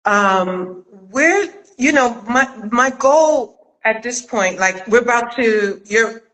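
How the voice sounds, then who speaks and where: A middle-aged woman hosting a casual chat interview, thinking aloud with hesitant pauses. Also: on the high side, expressive, fairly bright tone, rough recording. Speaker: interview host and director.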